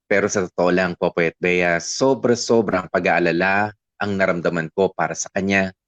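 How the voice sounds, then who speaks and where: A man's voice: sits low, a bit bright, dry recording. A man narrating a first-person letter on radio in an earnest, confiding way. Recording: dry dull radio studio sound.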